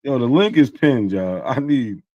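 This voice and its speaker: A man co-hosting a stream, reacting to a clip with excited, hyped chat. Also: medium pitch, a little slow, dry recording, dull audio.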